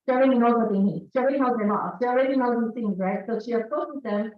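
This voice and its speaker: Salvadoran-born woman, higher voice, lively talk to staff, sounds far off and dull in a big hall. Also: fast. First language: Spanish. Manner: lively, engages the audience directly. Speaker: staff presenter. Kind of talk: speech to college staff. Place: large hall with an audience. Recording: echoey hall, distant mic, dull sound.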